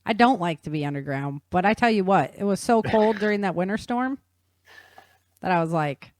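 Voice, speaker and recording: A woman's voice: on the low side, animated, normal speed. A woman hosting a podcast, explaining things in an informal, folksy and enthusiastic way as casual chat. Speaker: podcast host.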